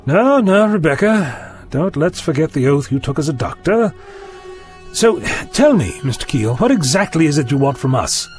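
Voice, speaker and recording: An actor playing a quick-witted, wry, heroic time traveller in an audio drama. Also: male voice, fairly high pitch, big pitch swings, faint music behind. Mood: wry, composed.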